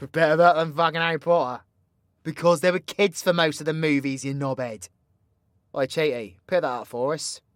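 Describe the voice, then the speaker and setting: A British man playing a cocky, foul-mouthed dark-magic warlock in an audio roleplay, with slangy, teasing, proud delivery. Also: fairly high pitch, clear, resonant tone, no room sound, clean studio sound, natural voice. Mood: mocking, teasing. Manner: cheeky slangy banter, swinging between hushed menace and loud scolding. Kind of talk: roleplay ASMR audio drama.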